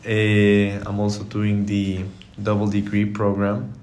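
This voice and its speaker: A young man from Colombia with a Colombian Spanish accent, speaking calmly as a guest on a student podcast. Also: fairly low pitch, takes it slowly. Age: young adult. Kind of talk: casual podcast chat.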